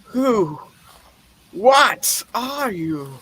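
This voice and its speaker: A frightened old miser from a comic radio play, acted out by a man. The voice is high and sharp, pleading and flustered, and it takes its time, repeating itself theatrically. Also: big pitch swings, extremely slow, slightly rough, exaggerated voice. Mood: startled.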